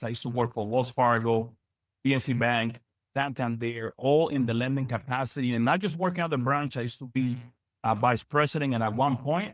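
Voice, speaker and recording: Business coach, male, young, from the Dominican Republic; quick, energetic lecture full of restarts. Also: mid-range voice, no echo. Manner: energetic, repeats words, many fillers. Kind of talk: live online training lecture.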